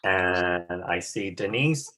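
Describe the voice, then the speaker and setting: A woman's voice: extremely low pitch, expressive, slow, a bit bright. A woman teacher chatting casually as she hosts an online class on a video call, encouraging and often repeating back what others say.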